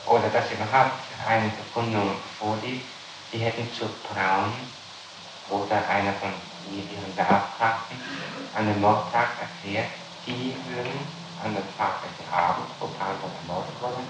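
Low-voiced man on a noisy old interrogation tape. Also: heavy reverb. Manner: halting, formal officialese. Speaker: police interrogator. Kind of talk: police interrogation, reading out a witness statement. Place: reverberant room indoors.

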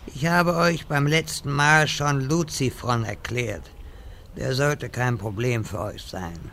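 A man acts out a secretive, theatrical quest-giver in a gaming parody radio play. He is bright and forceful with a gravelly edge, taking his time over ceremonious phrases. There is faint noise behind him. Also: a little high, exaggerated voice. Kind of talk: comedic audio drama parody acting.

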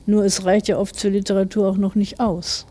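A forty-year-old woman speaks as an author being interviewed. Her voice is dark and relaxed at an average pitch. She explains her thinking carefully and reflectively. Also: fairly quick. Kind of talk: thoughtful interview answers.

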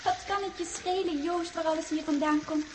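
A woman's voice: very high-pitched, fairly quick. An actress playing a childlike, bossy young girl who teases playfully, in a 1960s radio drama. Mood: brisk, bossy. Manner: playful, teasing, chirpy. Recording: old 1960s radio, steady hiss.

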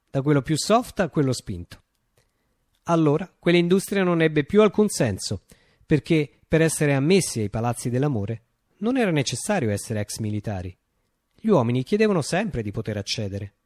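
A man's voice: mid-range voice, a little mellow, no room sound, studio quality, natural voice. Man playing a declamatory, swooping revolutionary visionary. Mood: wry storytelling. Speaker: actor performing a radio play. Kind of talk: theatrical radio monologue.

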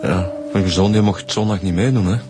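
An actor playing a probing, matter-of-fact police detective in a radio drama. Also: male voice, low-pitched, steady tone, a little fast, over music, natural voice.

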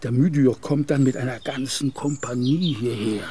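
An actor playing an anxious, middle-aged Turkish gendarme officer who pleads and often whispers, in a radio play. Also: male voice, mid-range voice, normal speed, warm tone, natural voice. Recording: radio play with clear background noise.

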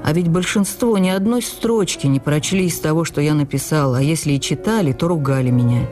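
A woman's voice: deep voice, fairly quick, darkish tone, soft background music, natural voice. A woman voicing a wistful, wry, playful middle-aged male writer in an audio drama. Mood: wry, weary. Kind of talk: audio drama memoir reading.

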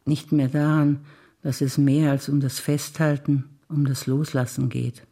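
An older woman, an actress reciting memories in a quiet, measured way on stage. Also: deep voice, level tone.

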